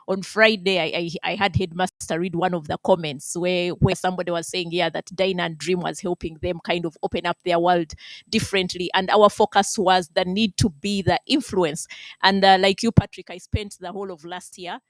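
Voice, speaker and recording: A woman's voice: on the low side, no echo. Encouraging woman, close dry sound. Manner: encouraging, repeats key phrases. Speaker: webinar moderator. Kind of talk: conversational summing up and motivational prompts.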